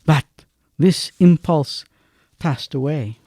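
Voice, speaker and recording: An actor playing a grave storyteller, narrating a radio drama in measured, declamatory phrases. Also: male voice, on the high side. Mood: solemn. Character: grave literary storyteller narrating a moral tale.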